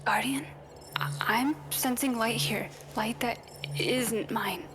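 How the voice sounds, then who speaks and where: Woman as small robot sidekick, audio drama, slightly high, soft-spoken, anxious, loyal, noisy background. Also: slow pace. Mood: worried, cautious.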